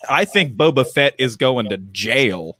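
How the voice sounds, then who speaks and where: Male, lively bright voice, casual jokey banter, dry sound. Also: mid-range voice, takes it slowly, dry recording.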